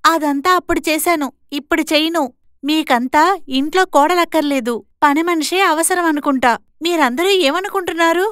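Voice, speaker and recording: Woman voicing a high-pitched, defiant young sister-in-law. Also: brisk, fairly bright tone, dry recording, studio quality. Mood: defiant, indignant. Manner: assertive, scolding. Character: bold, defiant young twin sister standing up to her in-laws. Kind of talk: moral story cartoon dialogue.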